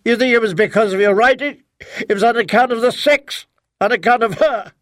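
A man voicing a bitter, furious wife who shouts accusations through tears, in an audiobook. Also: a little fast, no echo, studio quality. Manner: shrill, accusing, near screaming. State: crying. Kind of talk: audiobook character voice.

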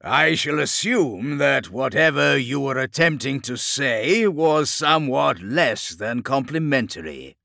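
A man's voice: medium pitch, animated, a little slow, vocal fry, hardly any pauses, sharp tone, dry recording, clean studio sound, pitched above the performer's own voice, exaggerated voice. A man voicing a hulking, gloating plague warlord in an audiobook, with a guttural, bubbling voice and a grand, theatrical delivery. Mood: amused menace. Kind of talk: audiobook villain acting.

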